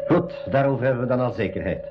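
An actor playing a practical, matter-of-fact member of an expedition in a school radio drama. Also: male voice, medium pitch, lively intonation, fast talker, highs cut off, close to an everyday voice. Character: level-headed, practical man on a rescue expedition. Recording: band-limited radio drama, music behind.

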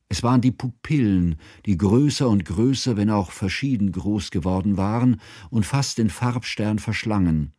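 Narrator, radio drama: grave scholarly teacher, deep dark tone, measured, formal and mournful. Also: male voice, dry recording, studio quality. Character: grave, sorrowful, learned male schoolteacher recounting his friend's tragedy. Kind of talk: literary first-person narration in radio drama.

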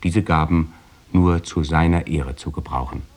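A man with a low, deep voice reads a formal text aloud. His delivery is solemn and deliberate, like an official recitation. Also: fairly even intonation, a little mellow. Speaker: reader of a document. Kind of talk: formal recitation of a death notice.

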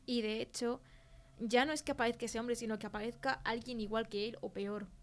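A woman plays a film worker giving her witness account in an interview, with an accent from Spain. Her pitch stays level, with little rise or fall, in a conversational delivery. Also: fairly even intonation, brisk, lightly acted. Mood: earnest. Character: female film crew worker recounting a frightening experience. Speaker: interview guest. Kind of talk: conversational fictional testimony.

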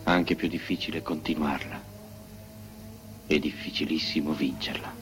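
A man's voice: a bass voice, steady tone, normal speed. A man speaking a dramatic quotation. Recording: loud music and crowd noise behind. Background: music and crowd.

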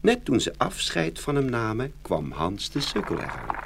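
Guy reading out a fairy tale, super lively intonation, little bit of background noise. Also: mid-range voice, normal speed, gravelly. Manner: expressive storytelling. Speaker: narrator. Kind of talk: scripted fairy-tale narration.

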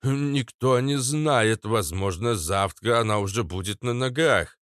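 Мужчина-чтец озвучивает старого лекаря, ворчливого всезнайку со скрипучим голосом, который всё растолковывает. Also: male voice, mid-range voice, a little slow, dry recording, studio quality, pitched above the performer's own voice, lightly acted. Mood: grave. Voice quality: creaky, scratchy. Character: old male healer, grumbling know-it-all explainer.

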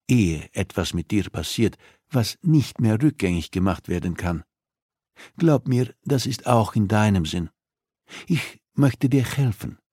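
A man's voice: lowish voice, a little mellow, slightly rough, dry recording, clean studio sound, full character voice. A man narrating an audiobook, voicing a coolly persuasive, threatening female criminal who interrogates with insistence and condescension. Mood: insistent, threatening.

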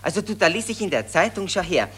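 A man's voice: high-pitched, big pitch swings, fast, fairly bright tone, breathy edge, heavily acted. An actor playing a chatty male patriot in a radio play, reading news aloud in a chummy, drawling way with hesitant fillers. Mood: amused.

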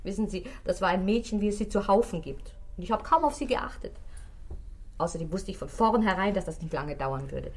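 Eine Schauspielerin spielt in einem deutschen Hörspiel eine geschwätzige, ausweichende Concierge, die zögernd und vertraulich redet. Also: female voice, medium pitch, animated, brisk, lightly acted. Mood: evasive, confiding. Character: gossipy, evasive, money-minded Parisian concierge woman. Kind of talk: German radio play acting.

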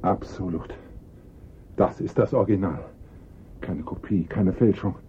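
A man's voice: measured pace, faint music behind. An actor playing a grave art professor, authoritative and precise, entirely sure of his expertise. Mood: certain, solemn. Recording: dull vintage radio recording, faint rumble.

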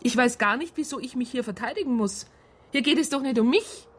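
A woman's voice: on the high side, expressive, fairly quick, breathy edge. An actress playing an indignant police psychologist who states her complaint in precise, formal words in a radio drama. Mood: defensive, indignant. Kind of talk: radio drama narration and dialogue.

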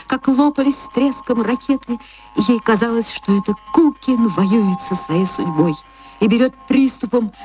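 A woman reading a short story for radio as an actress, in expressive literary narration. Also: mid-range voice. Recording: music under the voice.

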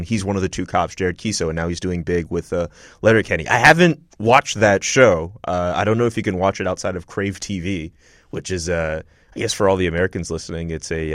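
A man's voice: low voice, a little fast, a bit bright, no echo, clean studio sound. A young man from Montreal hosting a podcast, chatting loosely with many fillers and restarts. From Montreal, Canada. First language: not English; French is his second language. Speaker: podcast host. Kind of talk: casual interview chat.

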